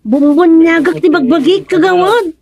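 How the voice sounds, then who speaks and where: A woman's voice: very high voice, normal speed, darkish tone, no echo, studio quality. A woman playing a chatty, teasing village wife in a radio comedy drama, with an Ilocano accent. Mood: teasing, animated.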